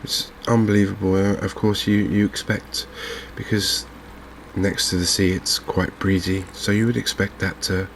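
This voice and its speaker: Softly spoken English male game host, flat and hushed delivery, gravelly fry, faint background noise. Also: low voice. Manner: gentle, unhurried, hushed. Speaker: gaming video host. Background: fishing game ambience.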